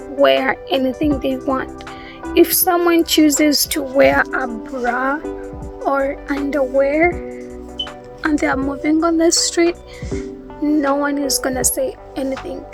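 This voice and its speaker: Young female vlogger, Ugandan accent, high pitch, slow casual delivery over background music. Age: young adult. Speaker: YouTube vlogger. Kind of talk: casual talk to viewers.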